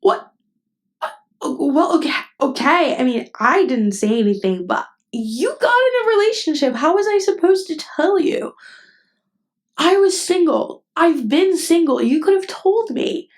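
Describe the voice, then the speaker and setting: A woman acting a nervous, tender best friend with hidden love in an intimate ASMR roleplay, speaking hesitantly and haltingly. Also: high-pitched, big pitch swings, heavily acted. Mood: startled, agitated. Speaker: voice actress.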